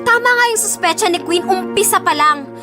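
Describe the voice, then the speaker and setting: A woman's voice: extremely high pitch, expressive, fairly quick, music in the background. An actress playing a bitter, confrontational jilted woman in an audio drama. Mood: angry. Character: bitter, accusing older cousin, a jilted single mother.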